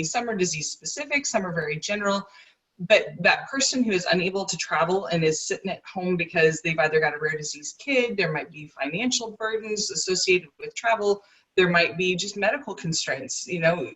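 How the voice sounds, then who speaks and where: Bright, enthusiastic woman on a video call. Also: low voice, recorded from a distance, poor audio. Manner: fluent, enthusiastic, well-practiced. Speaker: guest, rare disease advocate. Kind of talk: interview over video call.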